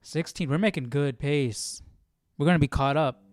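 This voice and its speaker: A man's voice: on the high side, moderate pace, no room sound, faint music behind. A man casually commenting on what he reads as a stream host, musing and questioning as he works out his thoughts. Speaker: host of a live Bible study stream. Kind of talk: casual reading commentary, thinking aloud.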